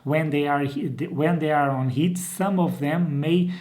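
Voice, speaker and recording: A man's voice: a little high, a little slow, a little mellow. A man in his forties from Portugal with a Portuguese accent, presenting an informal explainer as a breeder, repeating words for emphasis. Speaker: dog breeder and channel host. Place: indoor room.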